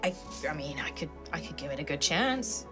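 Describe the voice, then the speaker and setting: A woman's voice: low-pitched, sing-song, fairly quick, over music. A woman playing a tabletop roleplaying game, chatting casually and playfully. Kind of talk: casual playful in-character chat.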